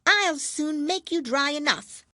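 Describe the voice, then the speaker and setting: A woman's voice: high-pitched, expressive, a little slow, bright, forceful tone, dry recording, clean studio sound, pitched above the performer's own voice, heavily acted. A woman voicing a self-important, easily offended storybook mouse who lectures with pompous, crossly polite authority. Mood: pompous, commanding. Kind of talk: storybook character voice.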